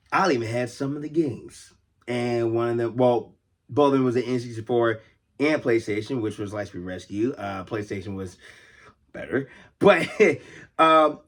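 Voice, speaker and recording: A man with a mid-pitched voice talks casually, as if showing items to his viewers. He rambles from thought to thought with plain enthusiasm, often exclaiming over small details. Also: fairly bright tone. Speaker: host of a toy collecting video series. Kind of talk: casual off-the-cuff toy show-and-tell.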